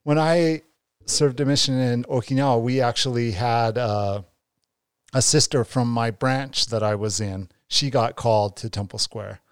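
A man's voice: medium pitch, unhurried, clear, resonant tone, no echo. Male co-host in his forties, eager and stumbling, dry close sound. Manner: enthusiastic, stumbling restarts. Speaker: podcast co-host. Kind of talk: casual interview.

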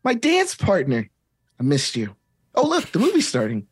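A bouncy young kids' show host as a man reads him with a husky edge, swinging his pitch all over as he coaxes the viewer along. The sound is dry and close. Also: huge pitch swings, moderate pace, no room sound, heavily acted. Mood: cheery. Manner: singsong, talking to the viewer. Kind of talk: comedic table read.

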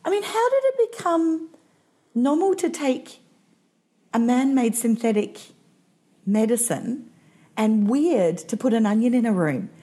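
A woman's voice: a little high, very animated, measured pace, long pauses, mellow. A woman co-hosting a talk show, enthusiastic and gushing as she chats. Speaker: podcast co-host. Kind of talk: casual chat.

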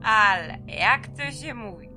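A woman's voice: on the high side, animated, takes it slowly. An actress playing a bitter, drunken woman fresh out of prison, rambling and swearing in slangy speech in an audio drama. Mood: tipsy, rambling. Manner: rambling, vulgar, slurred drunken repetitions. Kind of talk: Polish audio drama, slangy profane monologue. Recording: music under the voice. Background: music.

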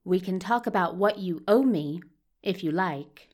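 Woman voicing a menacing, slow-drawling villain doctor. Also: darkish tone. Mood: cold, dangerous. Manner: low, dangerous, commanding. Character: menacing, contemptuous male villain doctor who commands demons. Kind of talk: audio fiction acting.

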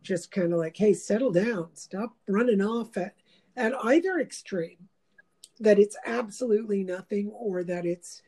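Mellow-voiced woman musing in a casual chat. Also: mid-range voice, measured pace, breathy edge. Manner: meandering, reflective, with many fillers. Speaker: host.

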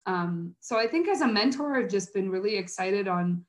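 Woman, American accent, medium pitch, earnest interview guest, conversational, thinks aloud. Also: expressive, normal speed. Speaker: interview guest, nonprofit coordinator and mentor.

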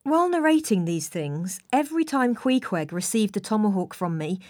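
A woman's voice: medium pitch, very animated, moderate pace, a little mellow, no echo. A woman reading literary fiction aloud as a scripted audiobook narration. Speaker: audiobook reader. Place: recording studio. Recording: dry studio recording.

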